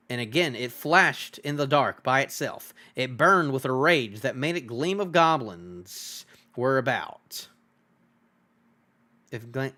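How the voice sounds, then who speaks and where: Animated, bright-voiced man reading a story. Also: medium pitch. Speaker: streamer reading a novel aloud.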